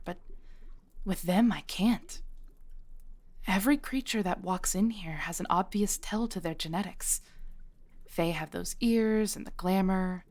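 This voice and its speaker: A woman playing a patient, knowing witch shopkeeper, centuries old, in an audio roleplay, with a conversational, pausing delivery. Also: on the low side, a little mellow. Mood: pensive, puzzled. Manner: unhurried, pausing, sighing, conversational.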